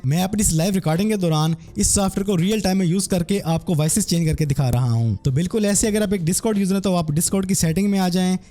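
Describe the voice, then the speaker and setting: A man narrating a scripted software tutorial as its presenter. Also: high voice.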